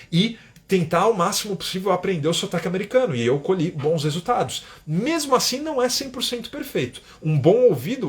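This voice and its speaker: A man from Brazil with a Brazilian Portuguese accent, an English teacher giving an emphatic live-stream lesson. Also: high-pitched. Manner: emphatic, frequent tag questions.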